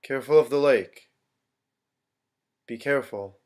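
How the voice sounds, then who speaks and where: A man's voice: medium pitch, unhurried, a little mellow, close to an everyday voice. A man playing an easygoing, wry young adult son in a staged play reading, talking casually. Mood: uneasy.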